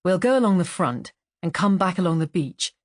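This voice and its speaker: Audiobook role: courteous male inspector, guarded and measured, read by a woman with a slightly low voice. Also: expressive, darkish tone, no echo, clean studio sound, lightly acted. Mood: assured, guarded.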